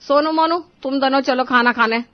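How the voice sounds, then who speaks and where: A woman voicing an exasperated, scolding mother-in-law in an animated family story. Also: high-pitched, normal speed, no room sound, dull audio, pitched above the performer's own voice, close to an everyday voice. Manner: scolding, emphatic. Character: exasperated mother-in-law scolding her lazy grown sons.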